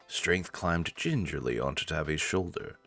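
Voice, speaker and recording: A man's voice: a bass voice, a little slow, a bit bright. A man hosting a let's-play, reading story narration theatrically with casual, amused asides. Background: faint game music.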